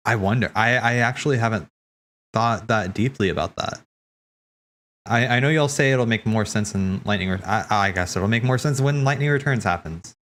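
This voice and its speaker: A man hosting a watch party, giving casual live reaction commentary in a musing, halting way as he thinks aloud. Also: lowish voice, fairly bright tone, a little hiss or hum. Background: game soundtrack music.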